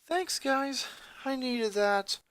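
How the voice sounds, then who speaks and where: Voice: high voice, lively intonation, takes it slowly, fairly bright tone, clean tone, dry recording, voice pushed up, exaggerated voice. A performer voicing an earnest, hurt young boy in a fan fiction story reading. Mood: grateful.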